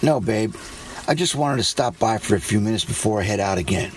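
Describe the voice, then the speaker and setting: A man playing a troubled, weary police detective in an audio drama, speaking gravely and plainly. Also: lowish voice, expressive, brisk, slight background noise, close to an everyday voice. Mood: tired, gentle.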